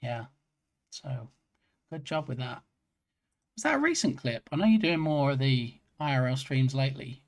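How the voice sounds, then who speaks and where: A man's voice: mid-range voice, expressive, normal speed, pauses often. A man streaming live, chatting casually and joking at his own expense. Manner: chatty, self-mocking, rambling. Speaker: game dev streamer.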